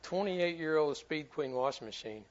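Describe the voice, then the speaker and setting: Folksy pastor, dull recording. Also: male voice, on the high side, animated, moderate pace. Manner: folksy, repeats himself, stumbles over words. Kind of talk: sermon.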